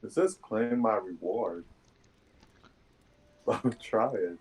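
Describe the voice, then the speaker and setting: A man's voice: fairly low pitch, drawn-out words, darkish tone, husky. A man chatting casually while playing a game with a friend. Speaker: co-op gaming partner. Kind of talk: casual chat while gaming. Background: faint game music.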